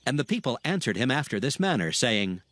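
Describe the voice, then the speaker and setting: A man's voice: mid-range voice, very animated, fairly quick, a bit bright, dry recording. A man narrating scripture as a scripted dramatic audiobook.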